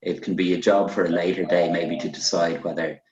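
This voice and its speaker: A man with a deep voice moderates a panel of writers in a musing, agreeable, conversational way. He sounds away from the microphone in an echoing room, with a slightly dull tone to the recording. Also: little pitch movement, brisk, far from the mic, crowd noise.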